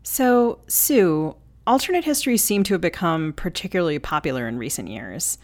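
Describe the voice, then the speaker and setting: A woman co-hosting a podcast, putting a prepared question to a guest in articulate, measured phrasing. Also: lowish voice, sing-song. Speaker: podcast co-host.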